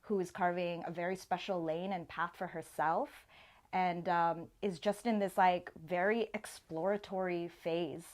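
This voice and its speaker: A woman's voice: on the low side, fairly even intonation, measured pace, darkish tone. Effusive woman hosting a casual interview. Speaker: interview show host.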